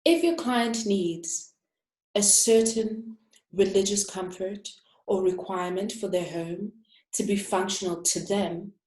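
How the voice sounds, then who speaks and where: A woman's voice: medium pitch, dark tone. Give me a woman interior architect delivering a thoughtful talk in an echoey room. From Africa. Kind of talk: prepared talk, measured and reflective.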